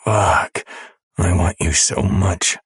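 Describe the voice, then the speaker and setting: A woman narrator voicing a rough, possessive male lover with a low, husky rasp and a slow, commanding murmur. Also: steady tone, dry recording, studio quality, heavily acted.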